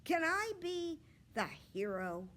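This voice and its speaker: A woman's voice: extremely high pitch, sing-song, takes it slowly, a bit bright, voice pushed up, exaggerated voice. Woman voicing a confident, showy little schoolgirl. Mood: self-assured, showy. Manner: self-assured, declarative, showy. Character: confident theatrical little schoolgirl who loves attention.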